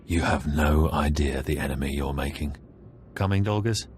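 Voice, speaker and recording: An actor playing a smug, proud rival Sith acolyte in a video game, menacing in a controlled, condescending, taunting way. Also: male voice, a bass voice, normal speed, darkish tone, faint music behind.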